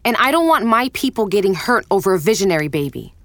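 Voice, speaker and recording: A woman's voice: mid-range voice, very animated, fast, no room sound. A woman playing a wary, challenging leader of covert helpers in an audio drama, blunt and questioning.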